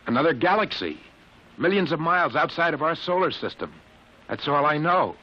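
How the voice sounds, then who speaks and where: An actor in an old-time radio drama playing a shaken, earnest young test pilot, halting and insistent. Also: male voice, a little high, very animated. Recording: muffled old radio broadcast recording.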